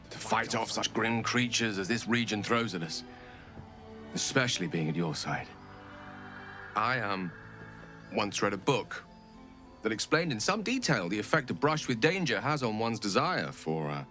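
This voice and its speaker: A man's voice: on the low side, animated, unhurried, pauses often. An actor playing an eloquent, learned male wizard in a video game, speaking in articulate, tender, formal phrasing. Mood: flirtatious. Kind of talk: game voice acting. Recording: game audio with soft music behind.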